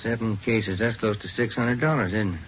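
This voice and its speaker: An actor playing an easygoing, practical police detective in a 1950s radio drama, with a casual, plainspoken manner. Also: male voice, lowish voice, steady tone, normal speed, fairly bright tone, lightly acted. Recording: muffled, noisy old radio recording.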